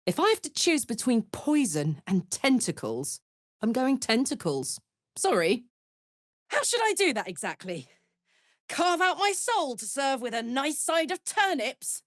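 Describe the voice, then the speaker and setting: An actress voicing a blunt, battle-hardened but warm-hearted woman warrior in a video game. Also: sits high, very animated, a little slow, no room sound, clean studio sound. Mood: angry, defiant. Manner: blunt, swearing, swinging between playful and intense. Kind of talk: video game character voice acting.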